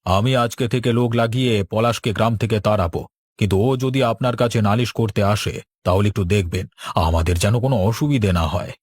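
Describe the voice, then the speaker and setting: A man's voice: fairly low pitch, fast, breathy edge, dry recording. A man voicing a scheming village strongman in a cartoon, conspiratorial and commanding. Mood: eager menace. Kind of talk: cartoon dialogue.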